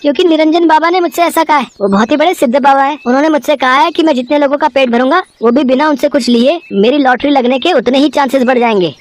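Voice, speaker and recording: A woman voicing a naive, eager, excitable young male customer in a cartoon moral story, earnest and chatty. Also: high voice, fast talker, hardly any pauses, lightly acted. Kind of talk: acted Hindi moral-story cartoon dialogue.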